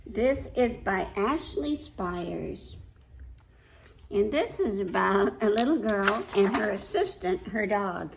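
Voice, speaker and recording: A woman hosting a children's storytime, reading aloud and pausing to put simple questions to young listeners. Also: mid-range voice, expressive, gravelly, a little hiss or hum, rough recording. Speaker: children's library storytime host.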